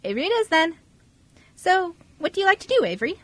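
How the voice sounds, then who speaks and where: A woman's voice: very high-pitched, big pitch swings, fast talker, a bit bright, no room sound, exaggerated voice. A woman playing a chatty, eager fifteen-year-old girl in an amateur radio drama, friendly and giggly.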